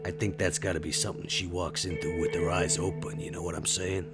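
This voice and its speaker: A man playing a folksy, guarded supplier and mentor, talking in measured, hesitant phrases. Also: low-pitched, flat delivery, loud music behind the voice, natural voice. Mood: reluctant, frank.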